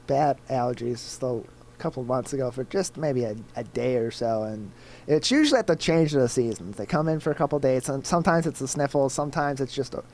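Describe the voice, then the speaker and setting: A man co-hosting a talk show, slightly stuffed up, explaining the news in a measured, casual way with some fillers. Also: medium pitch, lively intonation, darkish tone, a little hiss or hum. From Michigan. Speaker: co-host.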